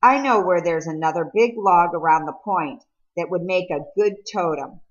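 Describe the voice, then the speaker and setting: An earnest teenage boy from a storybook, voiced by a woman narrator. He speaks patiently and tries to smooth things over. The recording is slightly lo-fi. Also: lowish voice, moderate pace, close to an everyday voice. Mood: restrained, conciliatory. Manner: calm, conciliatory. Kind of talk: audiobook character dialogue.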